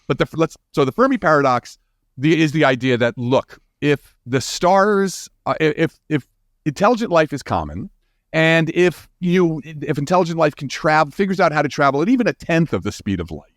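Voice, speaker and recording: A man's voice: a little high, no room sound, studio quality. A man speaking as a scientist guest in an interview, explaining ideas informally and animatedly, often restarting his phrases. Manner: animated, informal, halting restarts with frequent 'you know'.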